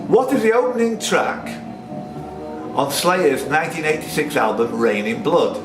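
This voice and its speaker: Male quizmaster, higher-pitched and lively, casual banter, roomy off-mic sound with music behind. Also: a little slow, distant mic. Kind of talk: reading quiz questions with casual banter.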